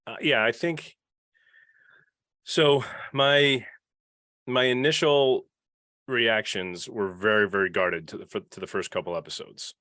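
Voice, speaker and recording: A man with a fairly low voice hosts a casual podcast panel. He feels his way through sentences, often restarting them. The sound is dry and close. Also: steady tone, unhurried, long pauses, sharp tone, dry recording. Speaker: podcast host leading a panel.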